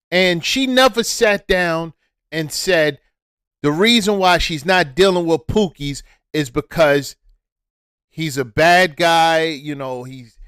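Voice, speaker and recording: A man speaking with a high-pitched voice delivers reaction commentary. He talks at a deliberate, slow pace, lecturing and repeating his points for emphasis. The sound is dry and close. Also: fairly bright tone, clean tone, no echo. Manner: preachy, repetitive, emphatic.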